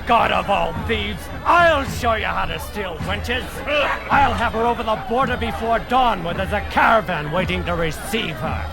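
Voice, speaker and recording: An actor playing a fat, gross, boastful kidnapper in a fantasy audio drama, mocking and blustering. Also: male voice, high voice. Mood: boastful, lecherous. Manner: blustering, bawdy, theatrical, jeering.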